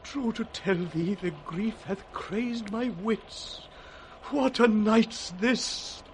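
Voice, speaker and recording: Aged, loyal lord, sorrowful and measured, fairly high voice, faintly noisy vintage radio drama. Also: male voice. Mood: grief-stricken. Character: grieving, loyal old nobleman and father. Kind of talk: 1960s Shakespearean radio acting.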